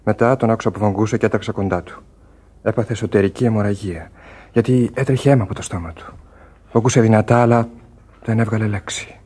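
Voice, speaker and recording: Low, husky, sober sailor. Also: male voice, fairly even intonation, warm tone. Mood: grave. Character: sober sailor, shaken witness to an accident. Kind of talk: Greek radio play acting.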